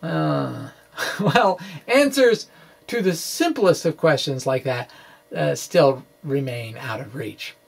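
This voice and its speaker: A middle-aged man informally explaining physics as he reads his own blog aloud, halting, wry and self-deprecating. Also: a little high, lively intonation, vocal fry, breathy edge. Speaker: physics blogger and presenter. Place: small room with bookshelves, indoors. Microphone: microphone on stand in front of him.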